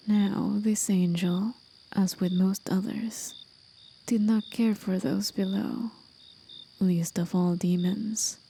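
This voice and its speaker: A woman voicing a gentle, musing demon guide in a soft, breathy whisper for an ASMR roleplay. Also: mid-range voice, slight background noise. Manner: slow, contemplative, soothing.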